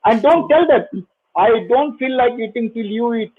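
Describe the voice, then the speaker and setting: Husky man in his 60s. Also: extremely high pitch, animated, normal speed, phone-quality sound. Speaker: host. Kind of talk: online panel discussion.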